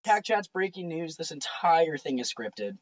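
A young American woman with a lowish voice that swings widely in pitch. She jokes in an animated, casual way as a guest, on a slightly muffled recording. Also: measured pace. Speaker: podcast guest. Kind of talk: casual joking chat. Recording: muffled lo-fi sound.

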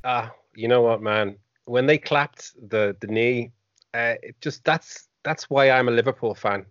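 A man's voice: medium pitch, a little slow, fairly bright tone, no echo, dull audio. A man from Ireland, rambling candidly on a football podcast and laughing easily. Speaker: podcast panelist. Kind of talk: casual football podcast chat.

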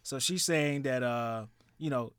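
A man's voice: medium pitch, measured pace, a bit bright, smooth, no echo. A man hosting a casual podcast chat, reading out listener responses and laughing often. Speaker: podcast host.

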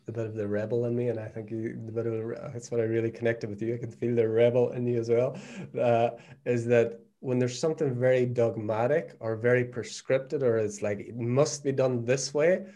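A man's voice: mid-range voice, steady tone, normal speed, warm tone. A man in his forties with a Northern Irish accent, talking earnestly and reflectively as a podcast guest, often repeating words as he thinks. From Northern Ireland. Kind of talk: reflective conversational podcast talk.

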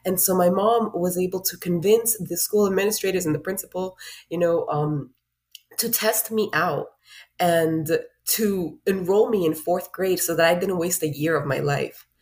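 A woman's voice: on the low side, normal speed, darkish tone. Woman life coach from Honduras, reflective and encouraging guest. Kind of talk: casual podcast interview.

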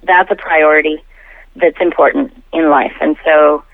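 A woman's voice: low-pitched, steady tone, normal speed, gravelly, no echo. Middle-aged woman on a thin phone line. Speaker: podcast guest, musician. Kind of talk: casual conversational interview. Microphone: phone call. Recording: thin, narrow telephone line.

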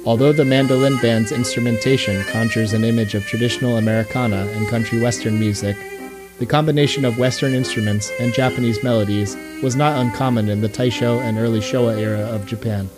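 A man narrating a documentary in a measured, even scripted delivery. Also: mid-range voice. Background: background music.

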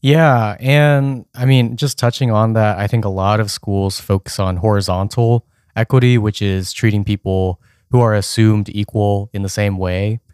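A man's voice: fairly low pitch, steady tone, normal speed, hardly any pauses, darkish tone, clear, resonant tone, no room sound, clean studio sound. Earnest young man podcast co-host. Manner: explains definitions earnestly, some "um" fillers. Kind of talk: casual educational podcast chat.

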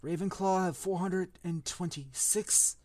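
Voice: medium pitch, a little slow, a little mellow, no room sound, natural voice. A reader voicing an old, whimsical male headmaster giving a genial, rambling speech. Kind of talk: audiobook reading.

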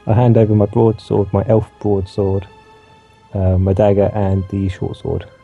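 A man with a croaky voice, playing a character in a tabletop game and asking his questions hesitantly. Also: fairly low pitch, flat delivery, unhurried, narrow, tinny audio, faint music behind. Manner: hesitant, repeats words. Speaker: player.

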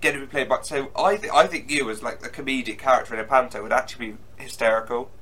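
A young man with a bright, forceful voice hosts a casual podcast chat. He talks with easy enthusiasm, jumping in and restarting his sentences. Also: mid-range voice, breathy edge. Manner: chatty, enthusiastic, quick restarts. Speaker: podcast host.

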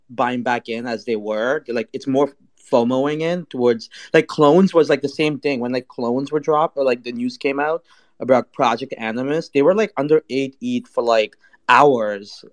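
A man chatting casually as a panelist, slangy and free with swearing. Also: mid-range voice. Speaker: panelist on a crypto talk show.

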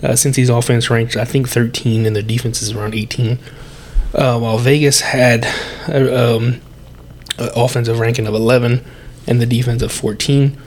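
A man's voice: mid-range voice, steady tone, moderate pace. A young adult man hosting a solo sports podcast, congested and with a sore throat, chatty and breathing heavily as he corrects himself. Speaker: podcast host.

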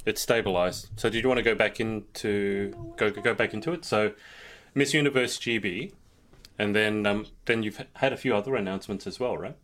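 A man's voice: on the low side, fairly quick, a bit bright. A man hosting a casual podcast over a video call, playful and teasing with his guests. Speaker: podcast host.

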